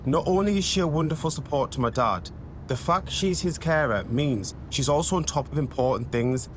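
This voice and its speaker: A man's voice: a little high, a little hiss or hum. Geordie man, candid reality-show narrator. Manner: candid, emotional, quick to tease. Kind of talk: reflective narration and casual chat.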